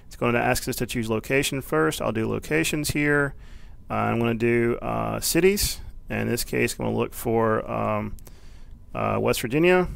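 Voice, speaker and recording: A man giving a casual, unscripted software tutorial, explaining step by step and thinking aloud as he goes. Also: medium pitch. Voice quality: gravelly, creaky. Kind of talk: informal, unscripted screencast walkthrough.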